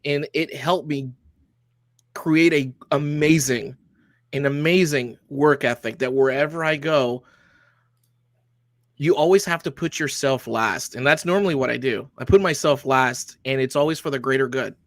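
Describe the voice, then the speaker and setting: A man's voice: medium pitch, a little slow, fairly bright tone, no echo, clean studio sound. Earnest veteran man from Honduras. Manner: earnest, plain-spoken, occasional swearing. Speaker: military veteran and security business owner. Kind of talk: casual interview answers.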